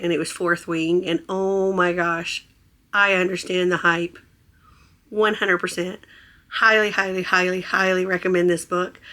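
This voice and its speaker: A hoarse woman who has nearly lost her voice, chatting in a casual, gushing vlog aboard a cruise ship. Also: on the low side, bright, forceful tone. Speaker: vlogger. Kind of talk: casual travel vlog chat.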